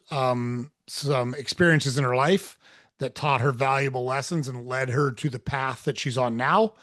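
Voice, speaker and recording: A man's voice: mid-range voice, a bit bright, no room sound, studio quality. A man hosting a podcast, welcoming listeners and introducing his guest in a friendly, rambling way. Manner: friendly, rambling, lots of fillers. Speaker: podcast host. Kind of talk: casual podcast interview.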